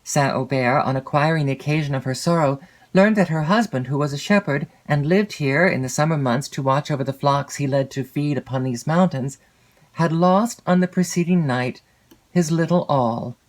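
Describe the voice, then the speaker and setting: A woman reading literary fiction as an audiobook narrator, in a measured, expressive storytelling manner. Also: sits low. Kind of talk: scripted literary narration of a classic novel.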